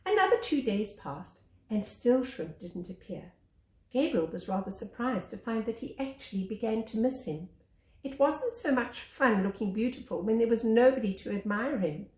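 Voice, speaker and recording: A woman reading a children's story aloud as a teacher, with expressive narration. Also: a little high, moderate pace. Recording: echoey room.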